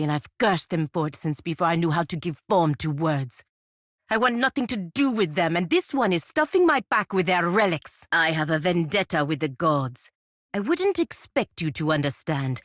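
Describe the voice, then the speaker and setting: A low female voice gives a proud, honor-bound fantasy game warrior her weight. She speaks formally and sternly in clipped phrases, with an embittered edge. The sound is very dry, free of room echo. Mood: bitter, sharp. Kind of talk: fantasy video game character dialogue.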